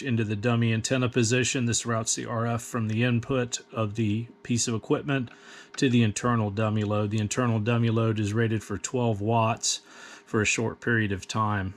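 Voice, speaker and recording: A man giving a plain, methodical, step-by-step instructional demonstration in a matter-of-fact way. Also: on the low side. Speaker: host of radio equipment demonstration.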